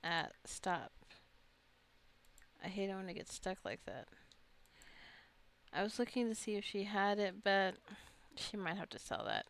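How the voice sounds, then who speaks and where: A woman's voice: medium pitch, a little slow, long pauses, a bit bright. A woman giving casual let's play commentary, chatty and rambling as she muses aloud. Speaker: gamer narrating own gameplay.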